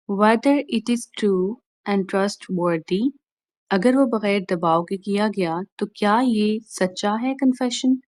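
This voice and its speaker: Slow-paced Pakistani woman lecturing. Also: mid-range voice, darkish tone, no room sound. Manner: deliberate, instructional, restating points plainly. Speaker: law lecturer. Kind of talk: explanatory lecture mixing Urdu and English.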